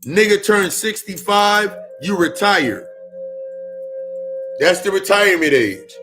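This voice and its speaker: A man's voice: high voice, lively intonation, slow, music in the background. A man in his thirties giving a casual spiritual talk, swearing often and repeating lines for emphasis. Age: 30s. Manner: profane, repetitive, emphatic. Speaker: spiritual speaker.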